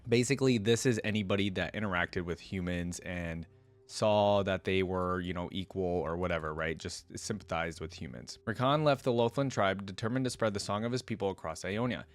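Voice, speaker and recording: A man's voice: sits low, fairly quick. A man reading fantasy lore aloud and commenting on it in a casual, informal way, as a reaction video creator. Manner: casual, informal asides between read passages.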